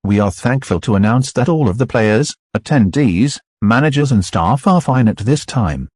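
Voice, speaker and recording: Male announcer reading a scripted emergency bulletin, formal and steady, kinda husky, dry close sound. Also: medium pitch, moderate pace, dry recording. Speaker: broadcast announcer.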